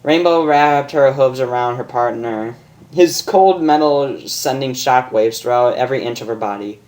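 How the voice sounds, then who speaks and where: A man's voice: mid-range voice, moderate pace, darkish tone. A man narrating a story as an amateur reader, often stumbling and repeating words. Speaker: fan fiction reader.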